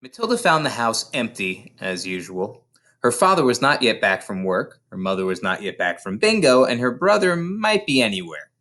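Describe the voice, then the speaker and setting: A man reading a children's story aloud in an expressive, dramatic storytelling manner. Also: medium pitch, normal speed. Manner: dramatic, animated storyteller delivery. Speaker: storybook reader.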